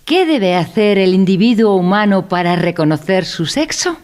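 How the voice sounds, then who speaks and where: A woman's voice: medium pitch, sing-song, moderate pace, darkish tone, lightly acted. A woman playing a pedantic instructor in a mock-educational radio play, speaking formally and didactically. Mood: solemn, didactic. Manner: formal, didactic, pompous wording. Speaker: narrator in an audio drama.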